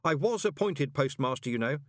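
A man's voice: a little high, animated, brisk, no echo, studio quality, pitched above the performer's own voice. A man voicing a wry, uneasy new postmaster in an audiobook, questioning and nervously putting on good cheer. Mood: exasperated. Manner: questioning, nervous, falsely jovial. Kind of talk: audiobook character voice.

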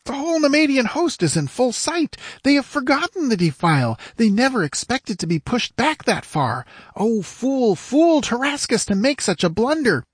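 A man voicing an excitable young squire in a fantasy audiobook, declaiming breathlessly. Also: high-pitched, moderate pace, no echo, clean studio sound, pitched above the performer's own voice. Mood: exultant. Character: young squire, frantic excitable lookout at a battle. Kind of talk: dramatic fantasy audiobook character voice.

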